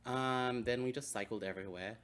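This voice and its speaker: A man's voice: medium pitch, fairly quick, a crowd in the background. A man from Hong Kong, a native Cantonese speaker, telling stories in a casual podcast chat. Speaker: podcast co-host.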